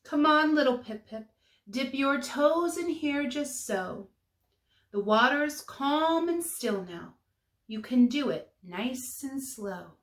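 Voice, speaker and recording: A woman voicing a gentle, reassuring mother penguin in a storybook read-aloud. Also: high-pitched, expressive, takes it slowly, roomy sound. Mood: gentle coaxing. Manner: soothing, coaxing.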